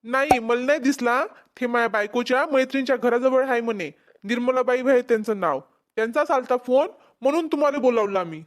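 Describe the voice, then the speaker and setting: A woman's voice: on the high side, brisk, natural voice. A woman with a Vidarbha Marathi accent voicing a helpful, reassuring village husband in a cartoon comedy, with a deferential manner. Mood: respectful. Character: helpful village husband and father, calm organiser. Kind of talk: amateur cartoon comedy voice acting. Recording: music behind the voice. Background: music.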